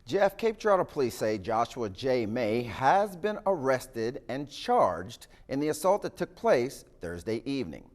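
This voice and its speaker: A man's voice: mid-range voice, sing-song, a little slow, hardly any pauses, darkish tone, no room sound. A man with an American accent delivering scripted broadcast news as an anchor and field reporter.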